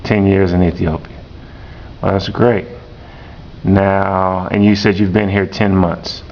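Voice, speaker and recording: A man, a teacher, interviewing a student slowly and repeating each answer back. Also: level tone, darkish tone. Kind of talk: classroom interview. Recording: dull lo-fi recording with rumble.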